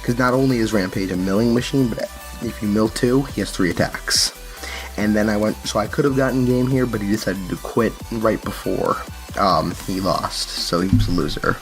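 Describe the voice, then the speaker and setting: A man's voice: fairly low pitch, normal speed, soft background music. A man giving casual, unscripted commentary on card game play and decks, explaining things and correcting himself as he goes. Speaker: card game channel host.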